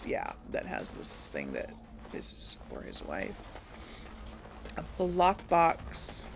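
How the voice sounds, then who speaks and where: A woman's voice: on the low side, vocal fry, a little hiss or hum. A young adult woman giving casual commentary on a game she plays, reacting aloud with laughs and wry remarks. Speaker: let's play host.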